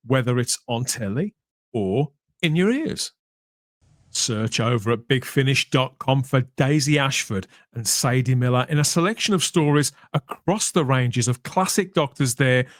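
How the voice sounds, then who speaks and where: A man's voice: mid-range voice, lively intonation, measured pace, no room sound, studio quality. A man hosting a podcast in a casual, chatty way with wry, jokey asides. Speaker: podcast host.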